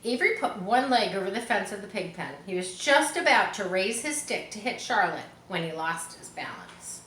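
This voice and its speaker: A woman's voice: medium pitch, sing-song, moderate pace, a bit bright, echoey room, slight background noise. A woman reads a children's story aloud expressively, adding casual asides to listeners as she narrates. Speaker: storybook reader.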